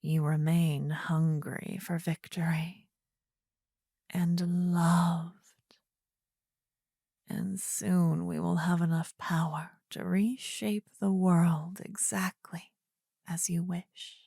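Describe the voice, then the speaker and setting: An adoring, possessive demon queen, acted by a woman, whispers at close range in a fantasy ASMR roleplay. Her low voice is husky and breathy, with a gravelly creak. She speaks intimately and soothingly in formal phrases, tender with a menacing edge. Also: level tone, takes it slowly, warm tone, dry recording, full character voice. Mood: soothing, devoted. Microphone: close ASMR microphone, dry.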